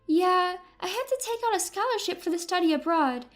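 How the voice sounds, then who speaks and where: A woman's voice: extremely high pitch, animated, brisk, faint music behind, pitched above the performer's own voice. A woman voicing a cheerful, candid young adult granddaughter in an audio story, open and chatty. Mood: bright, candid. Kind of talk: acted dialogue in an audio story.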